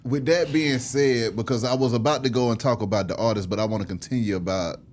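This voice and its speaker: A man's voice: medium pitch, fast talker, a little hiss or hum. A man hosting a casual interview, blunt and slangy, swearing lightly as he puts his questions. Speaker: interviewer, host.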